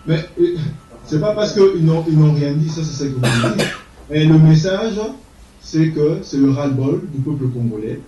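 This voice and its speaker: A man's voice: a little high, lively intonation, moderate pace, mellow, slight background noise. A man giving interview answers in a hesitant way, with frequent filler sounds. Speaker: political activist. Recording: echoey, distant, dull sound.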